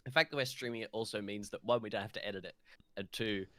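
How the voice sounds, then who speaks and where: A man's voice: fairly low pitch, fast, fairly bright tone, dry recording. A man in his twenties chatting informally as co-host of a live-streamed podcast.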